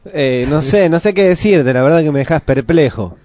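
A man's voice: fairly high pitch, normal speed, dark tone, no room sound. A man with a Buenos Aires Argentine accent in casual chat as a radio co-host.

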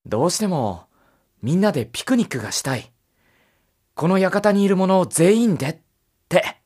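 Actress as boyish young master, low husky voice, hesitant and casual, lively pitch, anime audio drama. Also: female voice, normal speed. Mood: coaxing. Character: easygoing, awkward young male master of the mansion.

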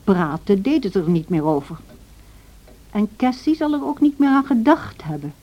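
An actress playing a plain-spoken, disapproving housekeeper who narrates a radio drama in an earnest storytelling manner. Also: female voice, medium pitch, big pitch swings, normal speed. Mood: narrating, disapproving. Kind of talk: scripted first-person narration in radio drama. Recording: dull lo-fi old radio recording.

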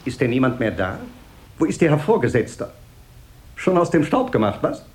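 A peevish company director acted by a man speaks in a mid-pitched voice, pompous and curt. He nags with sarcasm and leans on repeated words, in the manner of 1970s radio drama. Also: very animated, moderate pace, lightly acted. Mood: irritated, impatient. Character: peevish, self-important, nagging male company director. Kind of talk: 1970s German radio play acting.

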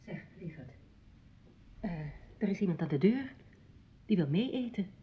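An actress in a Dutch radio play, playing a flustered, dutiful doctor's wife who speaks in a halting, hesitant way. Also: female voice, mid-range voice, very animated, a little hiss or hum, lightly acted. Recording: dull lo-fi radio play recording.

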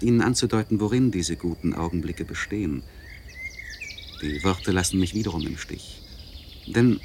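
An actor playing an anguished, articulate nobleman in a literary radio play monologue, speaking in a solemn, searching manner with deliberate phrasing and elevated diction. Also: lowish voice, slight background noise, lightly acted. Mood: reflective. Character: anguished, articulate nobleman confiding by letter to a friend.

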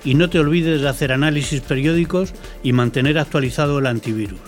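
A man's voice: on the high side, fast, faint music behind. A man with an accent from Spain co-hosting and explaining things in a scripted, didactic but conversational way. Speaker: co-host commentator, explainer.

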